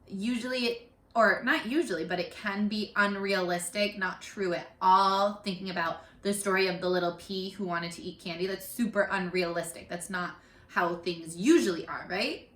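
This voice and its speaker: A woman teacher leading an interactive read-aloud lesson for young children, animated and encouraging, often pausing to ask questions. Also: mid-range voice. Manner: animated, encouraging, asks questions and pauses for answers. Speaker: primary school teacher. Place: living room at home. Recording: roomy home echo.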